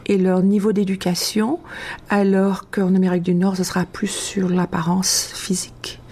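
A woman from France speaking as an interview guest, reflective and halting, with long thoughtful hesitations. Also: lowish voice, level tone, warm tone, slightly rough. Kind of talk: casual, reflective interview talk.

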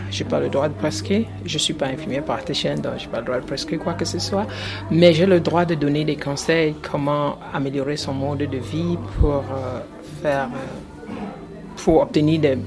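A woman nurse answers a reporter's questions about health care at an open house. She explains patiently, pausing on fillers as she searches for words. Music plays in the background. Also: sits low. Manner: explanatory, hesitant with fillers, laughs easily. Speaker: nurse at a community health centre. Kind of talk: street interview answers. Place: indoor open-house event.

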